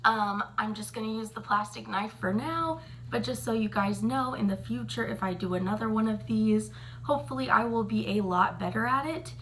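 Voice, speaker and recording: A woman, chatting casually to camera in a slightly raspy morning voice, full of ums and likes. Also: on the high side, normal speed, fairly bright tone, a little hiss or hum. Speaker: vlogger.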